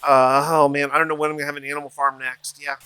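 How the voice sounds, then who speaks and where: A man's voice: on the high side, little pitch movement, fast, noisy background. A man hosting a gaming video, telling a dramatic first-person story of his play in a theatrical, in-character way. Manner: theatrical, in-character roleplay delivery with repeated phrases.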